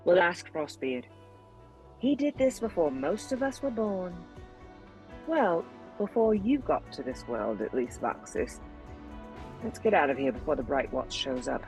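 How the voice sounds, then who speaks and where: A seasoned sorceress boss, slow and commanding, big echoey hall sound. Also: female voice, fairly low pitch, very animated, darkish tone, soft background music. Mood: decisive. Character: commanding female sorceress, seasoned task force leader. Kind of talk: fantasy audio drama acting.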